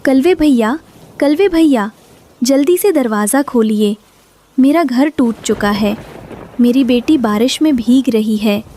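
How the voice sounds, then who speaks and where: A woman voicing a gentle, worried mother bird in a cartoon, speaking politely and earnestly. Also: on the high side, lively intonation, unhurried, a little hiss or hum. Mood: urgent pleading. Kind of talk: Hindi cartoon dubbing.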